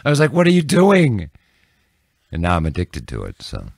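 An older man chatting casually as a podcast guest, telling stories in an animated way and repeating phrases for emphasis, close on the microphone. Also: on the low side, normal speed, gravelly, no room sound. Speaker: podcast guest, TV news broadcaster. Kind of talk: casual chat, storytelling. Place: small indoor room with bookshelves. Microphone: close podcast microphone on arm.

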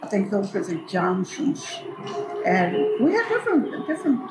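A woman's voice: mid-range voice, huge pitch swings, normal speed, over loud music. An older woman, a grandmother, casually reminisces in a rambling, anecdotal way, repeating phrases as she trails off. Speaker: storyteller guest, grandmother. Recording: echoey space with background noise.

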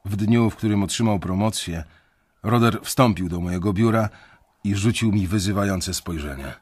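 A man's voice: fairly low pitch, moderate pace, a little mellow, husky. An actor playing a resentful young investment banker who tells his story bitterly in a radio drama. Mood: bitter recollection.

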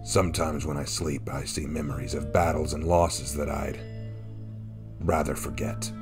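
A man voicing a stoic, blunt wandering warrior with a gruff way of talking, in a fantasy story. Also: a bass voice, normal speed, music in the background, pitched below the performer's own voice. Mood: somber, gruff. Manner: blunt, terse, calm.

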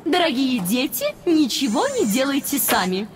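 A woman's voice: high-pitched, wildly swooping pitch, normal speed. A woman voicing an overbearing, insistent robot nanny in a children's cartoon, announcing orders in a syrupy sing-song. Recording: music behind the voice.

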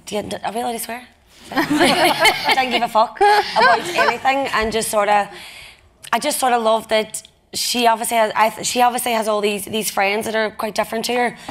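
A young woman, an actress chatting on a festival panel, blunt and quick to laugh. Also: a little high, a bit bright, a little hiss or hum. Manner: blunt, swearing, laughing often. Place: stage in front of a live audience.

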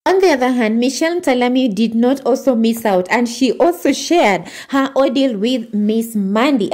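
A woman's voice: fairly high pitch, darkish tone. A woman narrating celebrity gossip as a channel host, reading posts aloud with frequent fillers and restarts. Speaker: entertainment news narrator.

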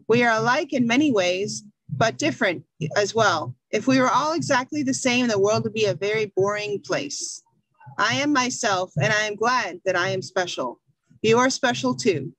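A middle-aged American woman teaching an English class over a video call, speaking slowly and encouragingly from a small room at home. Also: fairly high pitch, expressive, fairly bright tone, no room sound, poor audio. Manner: slow, encouraging, reads a passage aloud. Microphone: video call webcam.

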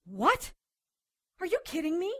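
A woman's voice: very high voice, wildly swooping pitch, measured pace, no echo, studio quality, full character voice. A woman playing a feisty, outspoken doctor who also narrates her supernatural case in a radio drama. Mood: defiant. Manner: defiant, outspoken. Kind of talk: radio drama acting with first-person narration.

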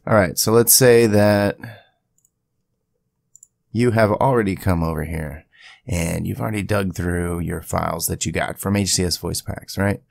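A man with a deep, creaky voice talks through a software tutorial. The sound is dry and close, with no room ring. His delivery is conversational, dropping in chatty asides as he explains each step. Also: unhurried, no room sound, soft background music. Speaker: tutorial video creator. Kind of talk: casual screen-share software tutorial. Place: indoors at a home PC, voice-over to screen capture.

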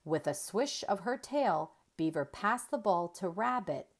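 Slow, highly animated woman reading a storybook. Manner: deliberate, animated storytelling with emphasized dialogue. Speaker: children's storybook reader. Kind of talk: expressive read-aloud narration of a children's story.

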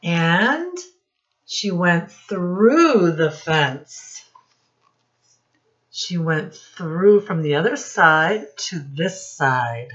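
A woman's voice: fairly low pitch, very animated, fairly bright tone. A middle-aged woman reading a picture book aloud to young children as a lesson, prompting them with questions and drawing out key words. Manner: instructive, prompting listeners with questions, drawn-out emphasis on key words. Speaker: children's storytime teacher and reader. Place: small room indoors. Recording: dull, lo-fi webcam sound.

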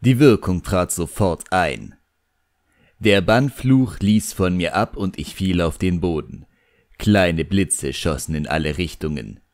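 A man narrating an audiobook in the first person, expressive, wry and theatrical. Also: sits low, slow, no echo, clean studio sound.

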